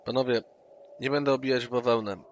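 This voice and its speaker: A man's voice: medium pitch, steady tone, brisk. A man playing his character at an online tabletop session, persuasive and scheming. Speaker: player. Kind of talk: in-character roleplay. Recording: dull online call audio with noise.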